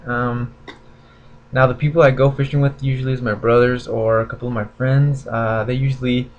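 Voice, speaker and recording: A man's voice: mid-range voice, level tone, normal speed, lo-fi sound. A young man chatting casually and informally to viewers as a laid-back vlogger showing new purchases. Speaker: fishing vlogger. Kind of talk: casual unboxing chat to viewers. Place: small bedroom, indoors at a desk.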